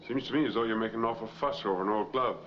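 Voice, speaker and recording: A man's voice: fairly low pitch, racing, a little hiss or hum, close to an everyday voice. A man playing an earnest, determined framed man in a 1940s crime film, speaking in a clipped, plain way. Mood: dry, defiant. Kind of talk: 1940s film noir acting. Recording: dull vintage film soundtrack, music behind.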